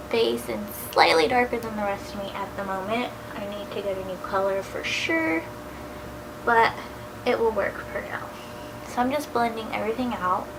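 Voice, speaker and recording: A woman in her thirties with a cold, a little nasal, giving a chatty makeup tutorial at home in a casual voice that now and then drops to a soft near-whisper. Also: fairly high pitch, noisy background. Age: 30s. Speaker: beauty vlogger.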